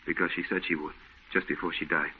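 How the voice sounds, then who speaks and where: An actor playing a haunted, fearful, guilt-ridden painter in an old radio horror play. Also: male voice, extremely low pitch, level tone, quick pace, slight background noise. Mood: brooding confession. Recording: dull, band-limited old radio recording.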